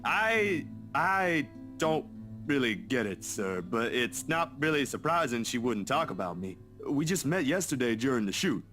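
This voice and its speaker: An awkward, deferential young photographer in a visual novel game. His medium-pitched voice moves up and down a lot as he speaks hesitantly and stammers, with light guitar music behind him. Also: sing-song, unhurried, a bit bright.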